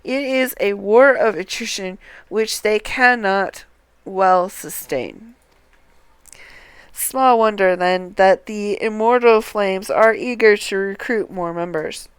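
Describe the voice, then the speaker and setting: A woman game streamer reading dialogue aloud on a livestream in a deliberate, sometimes halting way, with casual commentary. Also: medium pitch, smooth, no echo.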